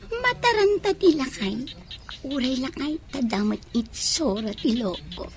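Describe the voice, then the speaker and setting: A woman's voice: high voice, sing-song, measured pace, exaggerated voice. A woman acting in a radio drama as a brazen, scheming young gold-digger, sly and theatrical.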